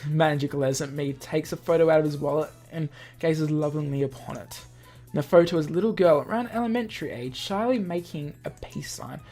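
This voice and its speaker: A man's voice: fairly high pitch, fairly quick, dark tone. A man hosting a gaming video, reading a visual novel aloud with casual asides and correcting himself as he goes. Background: music.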